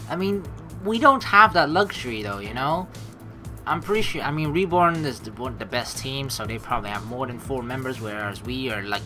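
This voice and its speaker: A man's voice: fairly high pitch, sharp tone. A man doing casual, rambling, emphatic gaming commentary, swearing now and then, with game music behind him. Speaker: let's play streamer. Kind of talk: gaming commentary, reading game text aloud. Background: game background music.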